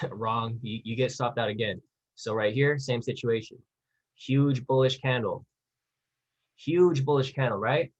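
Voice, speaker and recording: A man with a husky, slightly rough voice at a medium pitch leads an online training session. He explains in an informal, slangy way, as if chatting with the group. The sound is a dull, lo-fi webinar recording. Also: a little slow. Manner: informal, slangy, conversational, checks in with listeners. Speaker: trading instructor hosting a live webinar. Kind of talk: casual explanatory webinar teaching.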